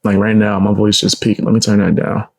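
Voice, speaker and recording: A young man reacting on a live stream in casual, blunt, mocking talk, with a low voice and frequent swearing. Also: monotone, quick pace. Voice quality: low gravelly voice.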